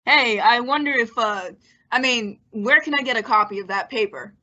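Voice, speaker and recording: A woman's voice: a little high, normal speed, bright, forceful tone. A woman playing a shifty, uneasy outlaw henchman in an old-time radio western. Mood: casual, shifty. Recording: dull lo-fi audio with hiss, clicks.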